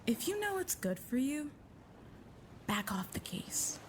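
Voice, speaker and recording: A woman's voice: sits high, big pitch swings, unhurried, heavy background noise. A woman playing a guarded, bitter secret agent in an audio drama, terse and sardonic. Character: guarded, bitter, curt female covert agent.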